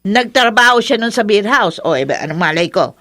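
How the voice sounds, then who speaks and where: A woman's voice: mid-range voice, expressive, normal speed, sharp tone, a little hiss or hum. An actress playing a spiteful, fault-finding older mother-in-law in a Filipino radio drama, blunt and scornful. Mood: bitter, dismissive.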